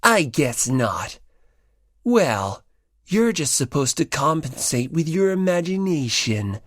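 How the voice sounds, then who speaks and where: A woman voicing casual high school boys, teasing or awkward, in an audiobook. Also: very animated, slow, no echo, studio quality, heavily acted. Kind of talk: audiobook character acting.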